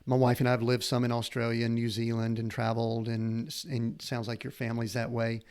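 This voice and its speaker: A man's voice: medium pitch, monotone, brisk, darkish tone, smooth. A middle-aged man hosting a podcast, earnest and rambling as he searches for the words to his questions. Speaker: podcast host. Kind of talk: conversational interview.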